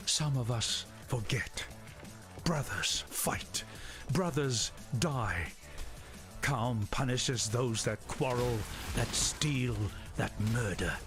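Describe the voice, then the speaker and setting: A grave tribesman who recounts the curse on his storm-haunted land. He speaks very slowly and solemnly, as dramatic game lore, with music underneath. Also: medium pitch, fairly bright tone. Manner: solemn, measured storytelling.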